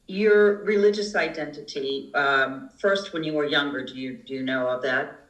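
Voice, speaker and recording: Calm interviewer, roomy echo. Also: male voice, on the high side, moderate pace. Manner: calm, procedural, brief acknowledgments. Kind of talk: structured interview questions.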